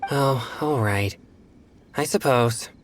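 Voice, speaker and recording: Young boy, very low for a woman, grudging, plain-spoken, video game, faint background noise. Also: slow. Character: put-upon, grudging young exorcist boy. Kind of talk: English video game dub acting.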